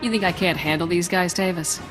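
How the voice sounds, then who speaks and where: A woman's voice: fairly low pitch, brisk, close to an everyday voice. A woman playing a resolute, dutiful lieutenant in a video game, speaking in a firm, earnest military way. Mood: firm, challenging. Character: resolute, dutiful young female soldier, a lieutenant. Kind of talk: video game cutscene acting. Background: music.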